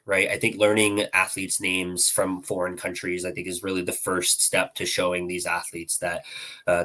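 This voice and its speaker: Man, very deep voice, guest analyst, hesitant, lots of ums, podcast chat. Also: pushed and bright. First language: not Czech. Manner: hesitant, many ums and restarts.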